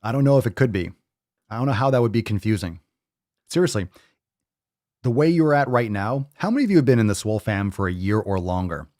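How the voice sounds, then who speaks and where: A man's voice: lowish voice, very animated, brisk, a little mellow, dry recording, studio quality. Blunt, profane man in his thirties. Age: 30s. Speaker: fitness podcast host. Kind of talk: ranting livestream talk.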